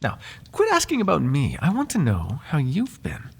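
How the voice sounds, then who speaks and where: A man's voice: fairly high pitch, wildly swooping pitch, moderate pace, creaky, slightly rough, exaggerated voice. A man playing a devoted, flirtatious butler in an intimate ASMR roleplay, speaking in formal, genteel phrases with playful teasing. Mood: tender, flirtatious. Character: devoted, flirtatious, gossip-loving male butler to a noble.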